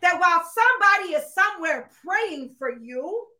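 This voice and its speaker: A woman preacher with an American accent delivers a fiery sermon in a very high, bright voice. She is emphatic and repetitive, pressing each point on the listener and often climbing toward a shout. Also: animated, moderate pace. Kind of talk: impassioned sermon.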